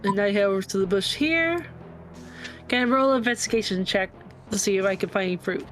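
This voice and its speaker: A woman's voice: mid-range voice, brisk, a bit bright. A woman chatting casually as a player in a tabletop game, announcing her turn and rolls. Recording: game music playing behind.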